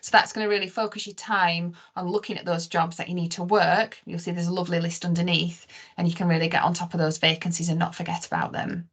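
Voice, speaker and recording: A woman giving an informal, conversational software walkthrough as a webinar presenter, stressing points as she explains. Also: on the low side, little pitch movement, fast talker, hardly any pauses.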